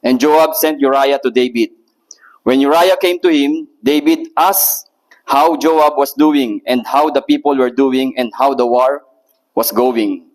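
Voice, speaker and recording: A man with a Filipino-British accent preaching in a church, his voice sitting at an ordinary middle pitch. He talks in a nervous, hesitant way, with lots of "uh" pauses, as he reads a Bible passage. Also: darkish tone, rough recording. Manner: nervous, hesitant, many filler ums. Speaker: guest preacher. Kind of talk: sermon with scripture reading.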